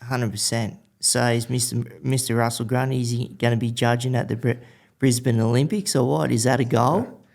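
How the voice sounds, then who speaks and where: Male podcast host, Australian, mid-pitched with a creaky edge, informal and slangy interviewing. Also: steady tone, moderate pace, a little mellow. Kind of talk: casual chat, interviewing.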